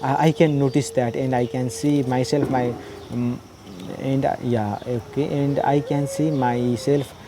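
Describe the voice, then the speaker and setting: Man with a Nepali accent, mid-pitched, halting unscripted testimony, audible noise behind. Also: steady tone. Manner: halting, many fillers and restarts. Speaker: meditation practitioner sharing experience.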